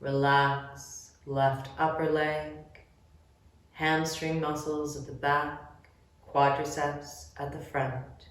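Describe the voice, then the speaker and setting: Female yoga nidra guide, very slow and gentle, medium pitch, heard at some distance in a heavily reverberant room. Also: level tone. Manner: gentle, measured instructions with long pauses. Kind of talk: guided relaxation meditation. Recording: very echoey room, distant mic.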